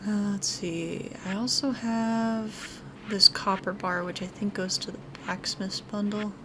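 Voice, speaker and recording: A woman's voice: medium pitch, steady tone, measured pace, noisy background. A woman giving casual let's play commentary as the host of a gaming video. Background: music.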